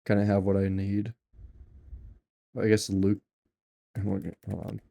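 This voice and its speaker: A man talking through a software tutorial in an offhand, rambling way, with many ums and self-corrections. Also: on the low side, monotone, takes it slowly, vocal fry. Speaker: tutorial maker. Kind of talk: casual screen-recorded software tutorial.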